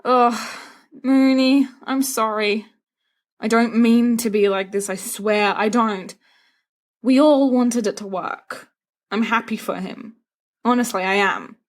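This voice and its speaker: A woman's voice: a little high, fairly even intonation, slow pace. Woman playing a sulky, melodramatic teenage boy. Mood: apologetic. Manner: melodramatic complaining. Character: dramatic sulky teenage schoolboy, fretful and grumbling.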